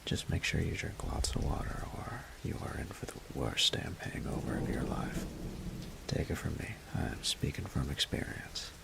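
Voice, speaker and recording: Man acting a gravelly low Southern roommate, teasing. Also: lively intonation, normal speed, noisy background, heavily acted. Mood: wry, reassuring. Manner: drawling, teasing, half-whispered. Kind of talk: intimate roleplay audio acting, close and conversational.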